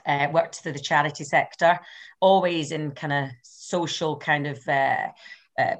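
A middle-aged woman from the Scottish Highlands with a Scottish accent, talking as a guest in a casual interview, chatty and self-deprecating with many fillers. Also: steady tone, a little slow. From Scottish Highlands and Islands. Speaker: guest, abuse awareness advocate.

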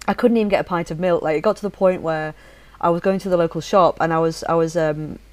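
A woman's voice: fairly low pitch, fast. Chatty woman with a faint northern accent. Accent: soft northern English, mostly neutral. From Leeds, England. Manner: chatty, animated, restarts often. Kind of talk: casual chat.

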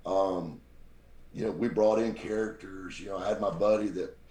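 A man, telling stories as a guest in a casual chat. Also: normal speed. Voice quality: very deep voice. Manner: animated, mimics voices in his stories. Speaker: podcast guest, radio show host. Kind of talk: casual storytelling. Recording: echoey room.

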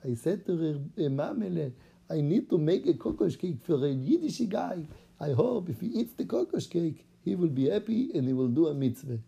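A man's voice: a little high, very animated, moderate pace, vocal fry, dark tone, husky, full character voice. A man putting on an eager Israeli rabbi. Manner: eager, chatty storytelling in broken English. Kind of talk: acted-out story for children.

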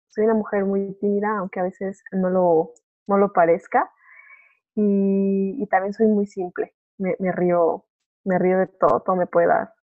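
A young Mexican woman talks casually about herself as an interview guest. Her voice is medium in pitch and comes through a thin, phone-like connection. She is chatty and often rephrases mid-sentence. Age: young adult. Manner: chatty, informal, self-correcting. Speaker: podcast guest. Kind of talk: casual chat, personal story. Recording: narrow phone-like remote call audio.

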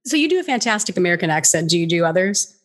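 A woman's voice: lowish voice, very animated, racing. A woman from Hawaii interviewing a guest in a casual, friendly chat.

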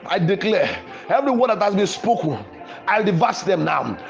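A man preaching fervently in a Pentecostal prayer style, with forceful, repetitive declarations. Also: high voice, sing-song, moderate pace, vocal fry, highs cut off, soft background music. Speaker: preacher leading a prayer podcast.

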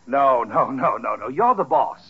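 An actor playing a hearty, wily, condescending company boss in an old radio sitcom, pompous, theatrical and jovially persuasive. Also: male voice, medium pitch, lively intonation, measured pace, breathy edge, heavily acted. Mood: smoothly persuasive. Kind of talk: 1940s radio sitcom acting. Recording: muffled vintage radio sitcom recording.

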